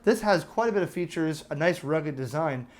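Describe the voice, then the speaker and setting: A man's voice: a little high, animated, moderate pace. An American man giving a casual, chatty product review, explaining features step by step in an informal way. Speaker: host of a radio review channel.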